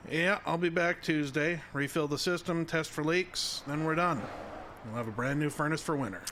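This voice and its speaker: A man's voice: fairly high pitch, moderate pace, fairly bright tone, slight background noise, close to an everyday voice. Polite, folksy older handyman played by an actor. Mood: courteous, easygoing. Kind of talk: naturalistic audio drama acting.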